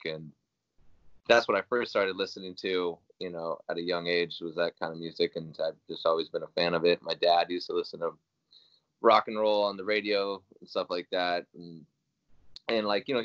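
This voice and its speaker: A man's voice: low voice, fairly even intonation, normal speed, a bit bright, no echo, muffled recording. A young man from Iowa, talking casually and rambling with many fillers and restarts on a video call from a small room at home. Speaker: guest musician. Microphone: video call webcam.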